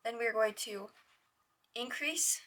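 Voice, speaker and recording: A young woman with a hoarse, raspy voice hosts a casual crochet tutorial. She chats with a lot of "like" and counts her stitches in a soft whisper. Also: on the high side, takes it slowly. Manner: chatty, with lots of "like".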